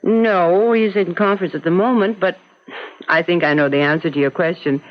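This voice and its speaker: An actress playing a brisk, courteous law office secretary in an old-time radio drama. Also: female voice, fairly low pitch, close to an everyday voice. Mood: businesslike. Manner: crisp, courteous, professional. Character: brisk, polite female law office secretary, protective of boss. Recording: dull lo-fi old radio recording.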